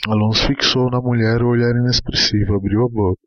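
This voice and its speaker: A man's voice: mid-range voice, steady tone, a little fast, dry recording. A man narrating a short story as a scripted dramatic reading. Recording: dull lo-fi audio with music underneath.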